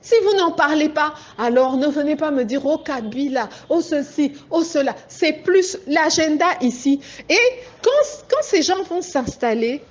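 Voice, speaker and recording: A woman's voice: sits high, lively intonation, measured pace, few pauses. A woman from Congo with a Congolese French accent, giving an impassioned political address to a group as an activist, urgent and insistent, driving her points home. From Democratic Republic of the Congo.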